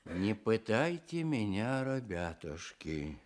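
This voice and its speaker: An actor playing a gentle, humble, devout village man in his sixties with folksy rustic speech, in a radio play. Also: fairly low pitch, big pitch swings, slow pace. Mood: humble, philosophical. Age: older. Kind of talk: Soviet radio play acting.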